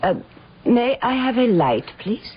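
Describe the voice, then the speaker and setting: A woman's voice: fairly high pitch, very animated, a little slow, a little hiss or hum. A woman playing an insolent, teasing young actress, ambitious yet wary, in a radio drama. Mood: cool, wary. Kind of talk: radio drama acting, with diary narration. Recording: muffled old radio drama, faint music behind.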